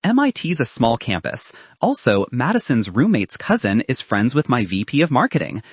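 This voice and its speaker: Man voicing confident, teasing young woman, husky, animated. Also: mid-range voice, moderate pace, dry recording. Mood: dry, teasing.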